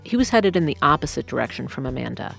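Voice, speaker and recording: A woman's voice: very deep voice, sing-song, quick pace, gravelly, fairly bright tone, soft background music. A woman narrating a true story in a scripted podcast storytelling style.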